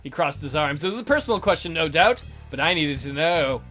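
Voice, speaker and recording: A man plays through a game, reading aloud and commenting casually. His voice is fairly high and very lively in pitch, with a sarcastic, joking way of talking. Faint music plays behind him. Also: brisk. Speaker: let's player.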